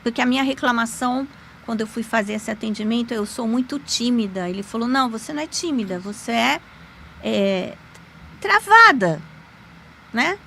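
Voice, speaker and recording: A woman, a podcast guest, telling a personal story in an animated, emphatic way with sudden pitch jumps. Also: moderate pace, gravelly, a little hiss or hum. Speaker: podcast guest, lawyer and magic teacher. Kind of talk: conversational storytelling.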